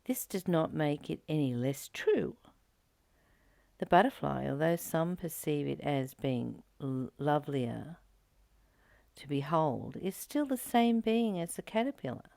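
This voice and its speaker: A woman reading a spiritual text slowly and meditatively, adding her own comments and dropping into soft whispers to stress a point. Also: low-pitched, expressive, vocal fry, dry recording.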